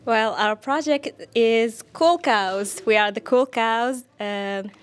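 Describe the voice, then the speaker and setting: A woman's voice: on the high side, takes it slowly, clean tone. A young woman from Morocco, a student answering interview questions hesitantly. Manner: hesitant, with fillers and small laughs. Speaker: student, hackathon winner.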